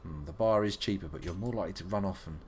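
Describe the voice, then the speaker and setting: A man's voice: low-pitched, fast talker, a little hiss or hum. A young man streaming a video game live, playful and joking, with muttered asides.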